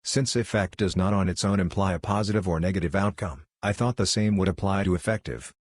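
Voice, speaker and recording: A man reading online forum posts aloud in a plain, even manner. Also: sits low, fairly quick, dry recording.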